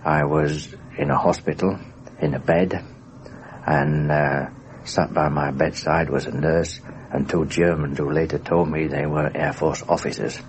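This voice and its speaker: A man's voice: rumbling low, fairly even intonation, a little slow. A man, a former airman, carefully recounting his wartime memories in detail for a documentary interview. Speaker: former RAF airman recalling his war experience. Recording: faintly hissy 1960s radio recording.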